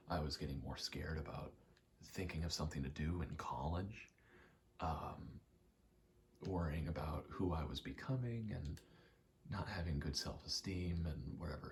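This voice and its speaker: Hushed, very low-voiced man rambling softly. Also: slight background noise. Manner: hushed, soft-spoken, halting and reflective. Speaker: ASMR creator. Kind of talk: ASMR ramble, personal storytelling.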